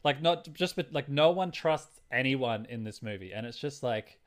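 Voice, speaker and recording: A man's voice: mid-range voice, lively intonation, normal speed. A man co-hosting a casual movie review chat, thinking aloud in an informal, loosely structured way. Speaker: podcast co-host.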